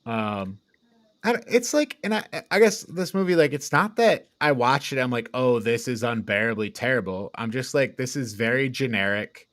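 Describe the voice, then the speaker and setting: A man's voice: medium pitch, expressive, normal speed, fairly bright tone, no echo. Man casually critiquing a film, close and dry. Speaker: podcast co-host reviewing films. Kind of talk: casual chat, film review.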